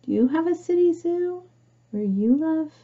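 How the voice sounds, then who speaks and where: Slow-paced woman reading a picture book aloud. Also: very high-pitched, animated, lo-fi sound, a little hiss or hum. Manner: simple explanatory talk, often asking the listener questions. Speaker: storybook reader for young children. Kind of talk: read-aloud picture book with questions and asides to children.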